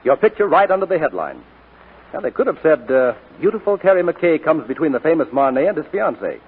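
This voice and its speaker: A possessive, devoted fiancé with a rough-edged middle-pitched voice that moves up and down a lot. It is 1940s radio melodrama on a muffled recording. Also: male voice, very animated, a little fast. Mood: breezy, affectionate. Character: devoted, possessive jilted fiancé, breezy then pleading.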